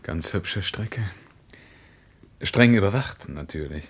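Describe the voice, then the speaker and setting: Radio play: reserved writer, low pitch, terse dry delivery, quietly probing. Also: male voice, expressive, takes it slowly, slightly rough, exaggerated voice. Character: reserved, dryly ironic male writer.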